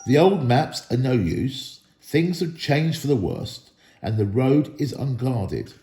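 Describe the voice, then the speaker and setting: A man's voice: medium pitch, lively intonation, takes it slowly, mellow, roomy sound, soft background music. A man voicing wary, grumbling dwarves from a storybook in a cautionary, complaining way. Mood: wary warning. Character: wary, grumbling male dwarves of a travelling band.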